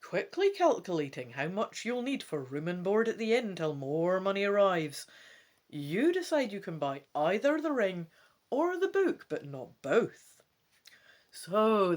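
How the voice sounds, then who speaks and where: A Scottish woman hosting a gamebook play-through, mixing expressive, theatrical storybook reading with casual commentary. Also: mid-range voice, measured pace. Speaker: gamebook let's-play host.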